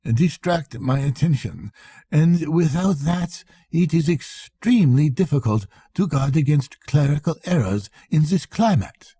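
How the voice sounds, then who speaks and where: A man voicing a fastidious, prim male accountant in a storybook reading, speaking precisely, formally and modestly. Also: fairly high pitch, expressive, dark tone, breathy edge, no room sound, pitched above the performer's own voice. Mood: gentle annoyance. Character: fastidious, prim male colonial chief accountant, mild and faintly annoyed. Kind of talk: storybook character voice in audiobook reading.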